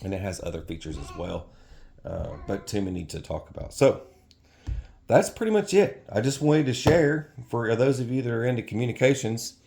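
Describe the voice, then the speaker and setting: A man's voice: medium pitch, big pitch swings, moderate pace, gravelly, a little mellow. A middle-aged man giving an informal, off-the-cuff product review in a rambling way, often correcting himself.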